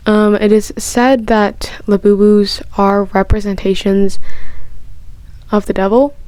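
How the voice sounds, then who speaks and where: Woman vlogger, casual chat, excitable and rambling, mid pitch. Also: slow, smooth, no echo. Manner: gushing and excitable, rambling with many restarts; chatty, heavy use of "like". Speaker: vlogger answering personal questions.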